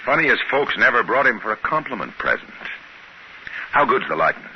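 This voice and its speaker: A man's voice: medium pitch, very animated, a little fast, slightly rough, full character voice. An actor playing a shrewd, suspicious trading-post man speaking in a conspiratorial way in a 1950s radio drama. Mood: suspicious, probing. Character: shrewd, suspicious male trading-post partner hatching a plan. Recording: hissy, muffled 1950s radio recording.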